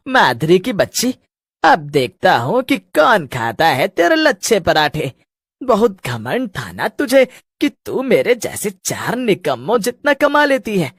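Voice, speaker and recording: A woman's voice: medium pitch, very animated, slow, husky, no echo, clean studio sound, exaggerated voice. A woman voicing a spiteful young male loafer in a Hindi cartoon, with a wheedling, taunting manner. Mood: gloating. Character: smarmy, spiteful young village loafer man, pestering suitor.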